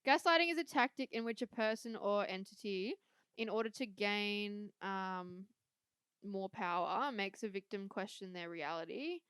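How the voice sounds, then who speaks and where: A young woman talks through a casual podcast chat, full of fillers and offhand swearing. Her voice is smooth and clear, recorded close and dry with no room sound. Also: mid-range voice, measured pace, fairly bright tone, no room sound. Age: young adult. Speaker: podcast co-host.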